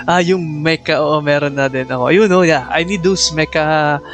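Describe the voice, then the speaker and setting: A man's voice: a little high, fairly even intonation, normal speed, fairly bright tone. A man with a Filipino accent chatting casually as a game live streamer, switching between two languages, with music behind. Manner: chatty, greets viewers by name. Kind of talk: casual live stream chat mixing English and Tagalog.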